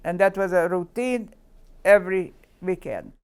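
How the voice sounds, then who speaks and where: Woman in her 90s from Hungary; clear, resonant voice, slow and careful memoir. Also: on the low side, a little mellow. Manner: careful, halting recollection. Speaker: Holocaust survivor interviewee. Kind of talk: recounting childhood memories. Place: indoor room, seated interview.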